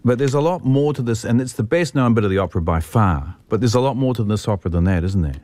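A man hosts a radio interview, putting his questions in an easy, curious way. His voice sits fairly low. The booth sound is dry and close. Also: animated, fast, a little mellow, no echo. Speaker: radio host.

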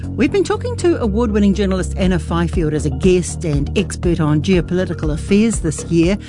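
A woman reading a scripted podcast promo in an upbeat, measured way. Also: on the low side, sing-song, over music.

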